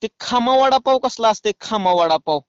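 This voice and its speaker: Guy w rural maharashtrian accent doing a prank call, smooth voice, cheeky n pushy, kinda muffled audio. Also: sits high, animated, normal speed, no echo. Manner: cheeky, persistent, pleading. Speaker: prank caller. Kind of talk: comic prank phone call.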